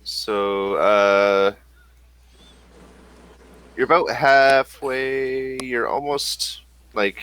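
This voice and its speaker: A man narrating a tabletop horror game as game master, in slow and halting speech with many fillers. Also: lowish voice, pushed and bright.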